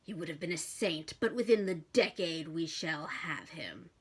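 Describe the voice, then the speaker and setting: A woman voicing a grim, malicious male demon who speaks formally, with deliberate, menacing pauses. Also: deep voice, slightly rough. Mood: solemn.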